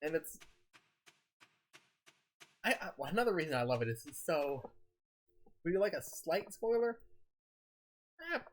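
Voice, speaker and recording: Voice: mid-range voice, big pitch swings, a little slow, pauses often. A streamer chatting casually while playing, rambling and laughing often, a little sick with a scratchy throat. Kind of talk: casual gameplay chat.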